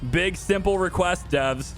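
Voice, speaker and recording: A man's voice: sits high, measured pace. Chatty man with music behind him. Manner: chatty, rambling, thinking aloud, addressing viewers and developers directly. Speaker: gaming video host. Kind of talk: casual off-the-cuff game commentary to viewers.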